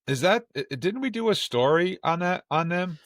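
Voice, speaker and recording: A man's voice: sits high, lively intonation, moderate pace, a bit bright, dry recording, studio quality. A man hosting a casual fan podcast, bantering and joking as he talks. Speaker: podcast host.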